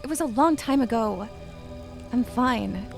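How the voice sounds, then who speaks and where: A woman's voice: on the high side, lively intonation, a little slow, gravelly, over music. A woman acting a wounded, defensive woman in her twenties in a dramatized audiobook, tough and guarded. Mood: angry, defensive. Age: 20s. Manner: guarded, bitter, profane. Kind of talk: dramatized audiobook, first-person recounting.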